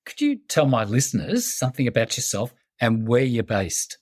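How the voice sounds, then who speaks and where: A man's voice: mid-range voice, sing-song, normal speed, dry recording, studio quality. A man hosting a podcast interview, welcoming his guest with enthusiastic, effusive praise. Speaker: podcast host.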